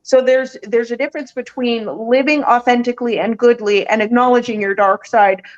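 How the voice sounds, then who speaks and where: A woman's voice: on the high side, fairly even intonation, a little fast. A woman guest in casual chat, explaining her views in plain, confident statements. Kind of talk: casual video-call chat. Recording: dull lo-fi audio.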